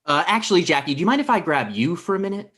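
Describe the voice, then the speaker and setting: A man's voice: fairly high pitch, big pitch swings, quick pace, fairly bright tone. A man in his thirties playing a flustered, exasperated boyfriend in a comedy table read on a video call, protesting and stammering.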